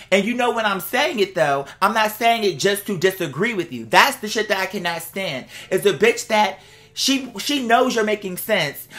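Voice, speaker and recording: A woman's voice: medium pitch, fairly bright tone. A woman ranting casually to her viewers, blunt, sassy and exasperated, with emphatic, slangy, profanity-laced delivery. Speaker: vlog host. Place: indoors at home.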